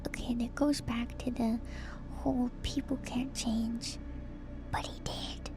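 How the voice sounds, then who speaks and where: A woman streamer whispering softly and breathily in casual ASMR commentary, musing and trailing off between phrases. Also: on the high side, flat delivery, a little slow. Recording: music playing behind the voice. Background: music.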